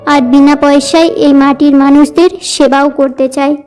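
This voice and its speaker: Woman playing earnest high-voiced teenage village girl. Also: level tone, unhurried, a little mellow, soft background music. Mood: earnest, determined. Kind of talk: scripted cartoon dialogue.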